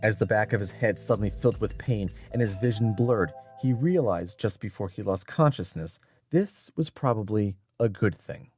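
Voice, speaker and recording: A man reading scripted adventure fiction as an audiobook narrator, in a dramatic, measured storytelling manner. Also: lowish voice, darkish tone, soft background music.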